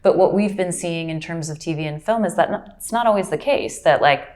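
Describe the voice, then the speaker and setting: A woman's voice: lowish voice, brisk, darkish tone. A woman from Brazil whose first language is Portuguese, an actor and audiobook narrator talking about her career in a casual interview with a reflective, storytelling manner.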